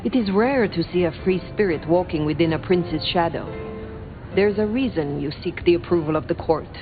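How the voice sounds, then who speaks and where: A woman's voice: lowish voice, lightly acted. Muffled, aloof vampire sheriff, played by a woman. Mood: cool, formal. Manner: measured, formal, cutting. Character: cool, formal, aloof female vampire sheriff, an ancient wanderer. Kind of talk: video game character dialogue. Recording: muffled, phone-like game audio under music.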